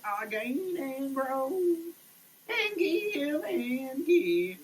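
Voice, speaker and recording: A woman giving a dramatic reading in a theatrical, halting, declamatory manner. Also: high voice, a little hiss or hum, low-quality recording.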